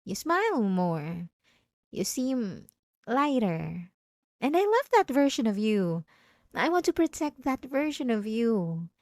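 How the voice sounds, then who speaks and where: A woman playing a possessive, obsessive wife in a dramatic ASMR roleplay, speaking in an intimate, taunting and insistent way with drawn-out emphasis. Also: a little high, big pitch swings, darkish tone, no room sound, clean studio sound, exaggerated voice. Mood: tender, menacing. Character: possessive, obsessive yandere wife, sweetly menacing and manipulative.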